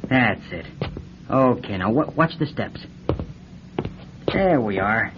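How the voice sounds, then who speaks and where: A man's voice: medium pitch, lively intonation, takes it slowly. An actor playing an agitated, suspicious male bus passenger in a radio drama, with an urgent manner. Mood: helpful. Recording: dull lo-fi radio recording, faint noise.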